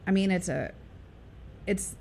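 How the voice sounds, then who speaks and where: A woman's voice: mid-range voice, measured pace, creaky, a little mellow, slight background noise. A woman, a radio guest talking casually with many fillers and restarts.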